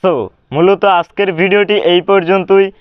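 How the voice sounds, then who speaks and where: A man's voice: high voice, level tone, moderate pace, clear, resonant tone, no echo. A man hosting a tutorial, delivering a scripted intro and sign-off. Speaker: tutorial host. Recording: dull, lo-fi, dry voice track.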